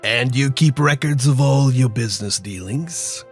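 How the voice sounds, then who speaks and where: A man playing a calm, self-assured crime boss in a noir audio drama, deliberate and condescending. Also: mid-range voice, faint music behind, lightly acted. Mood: smug, calculating.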